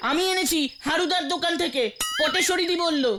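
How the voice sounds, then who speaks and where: Squeaky, timid young cartoon henchman. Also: fairly quick. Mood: nervous explaining. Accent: Bengali. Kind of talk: comic Bengali cartoon acting. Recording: loud music behind the voice.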